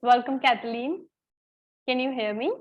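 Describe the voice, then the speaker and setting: A woman's voice: fairly high pitch, measured pace, muffled recording. A woman moderating an online conference, polite and formal, greeting guests and calling them ma'am. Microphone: video call.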